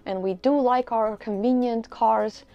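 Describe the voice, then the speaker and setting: A woman's voice: on the high side, steady tone, a little slow, dull audio. A young woman from Europe presenting a scripted explainer video in a dry, teasing, matter-of-fact way.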